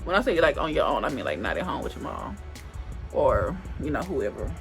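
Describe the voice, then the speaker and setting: A woman's voice: rumbling low, sing-song, fairly quick, soft background music. A woman in her twenties chatting casually to camera while doing her makeup, rambling and restarting her sentences, with speech. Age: 20s. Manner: rambling, thinks aloud with many restarts and "like". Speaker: beauty vlogger.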